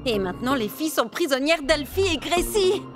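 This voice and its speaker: A woman's voice: high-pitched, lively intonation, moderate pace, over music. A woman voicing a bossy, scheming young female animal in a cartoon, with an emphatic, sly delivery. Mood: urgent, bossy.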